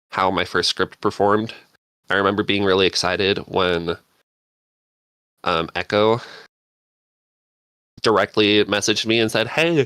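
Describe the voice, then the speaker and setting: Man, lowish pitch, crisp bright edge, dry sound, halting thoughtful storytelling. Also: no room sound. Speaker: panel guest, script writer. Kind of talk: casual reflective storytelling.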